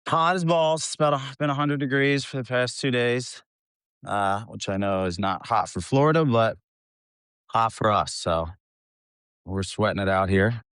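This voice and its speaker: A man from the United States talking casually as an interview guest, laid-back, slangy and quick to swear. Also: mid-range voice, a bit bright, clear, resonant tone, no room sound, clean studio sound. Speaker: interview guest, band vocalist. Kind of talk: casual chat.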